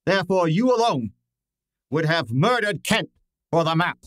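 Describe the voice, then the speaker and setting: A man playing a shrewd, imperious private detective in a radio drama, speaking formally and deliberately. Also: fairly high pitch, big pitch swings, fairly bright tone, no room sound, studio quality. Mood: stern, accusing. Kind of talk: old-time radio drama acting.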